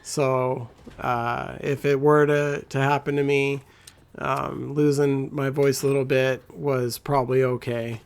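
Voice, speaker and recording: A man with a scratchy, gravelly voice giving laid-back, rambling commentary as a gameplay guide. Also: medium pitch, level tone, measured pace. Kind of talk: casual walkthrough commentary.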